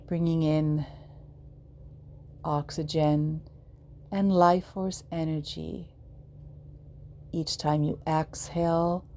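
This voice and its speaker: Female meditation guide, soft mellow tone, extremely unhurried and soothing, with faint ambient noise behind. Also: sits low. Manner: soothing, measured, with long pauses between short phrases. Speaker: yoga nidra guide.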